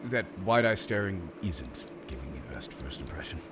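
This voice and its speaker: A man's voice: low-pitched, expressive, normal speed, noisy background. A man playing a theatrical, polite but exasperated supervillain interviewer in a roleplay audio drama, hesitant and mock-professional, prone to chuckling. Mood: flustered, dry.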